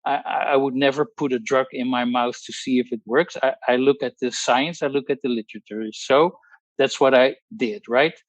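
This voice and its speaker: A man's voice: mid-range voice, steady tone, dry recording. Dutch-accented man in his sixties, dry close sound. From Netherlands. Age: 60s. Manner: hesitant, many pauses and restarts, technical wording. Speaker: guest, pharmacologist. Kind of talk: personal testimony on a group call.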